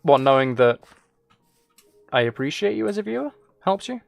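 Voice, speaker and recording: A man's voice: on the high side, very animated, moderate pace, gravelly, soft background music. A man livestreaming a game, casually rambling and thinking aloud as he replies to viewers. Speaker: livestreamer, gaming and ASMR channel creator. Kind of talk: casual live stream chat while gaming.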